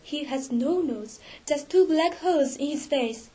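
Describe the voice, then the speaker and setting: A frightened young storybook heroine, acted out by a woman narrator, very high in pitch. She is anguished and urgent, pressing each phrase with dramatic emphasis as she confides a terrible secret. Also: measured pace, warm tone. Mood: horrified anguish.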